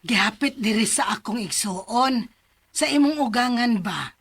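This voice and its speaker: An actress with a Cebuano Filipino accent playing a neighborly aunt in a radio drama. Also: female voice, fairly high pitch, animated, unhurried, fairly bright tone, slightly rough, exaggerated voice. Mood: matter-of-fact. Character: neighborly aunt breaking news of the children's whereabouts. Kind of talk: Bisaya radio drama acting.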